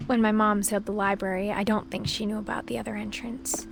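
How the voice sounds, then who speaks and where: An actress playing a reflective teenage girl, narrating her family's past in a soft, measured, subdued way for a video game. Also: medium pitch, level tone, vocal fry, noisy background, close to an everyday voice. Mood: pensive, melancholy. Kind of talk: scripted first-person game narration.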